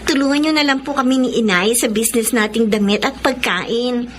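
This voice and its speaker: Actress as Filipino daughter for radio drama: high, eager, polite, noisy ambience behind. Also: female voice, fairly quick, close to an everyday voice. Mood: cheerful. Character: respectful, eager daughter, cheerful and helpful.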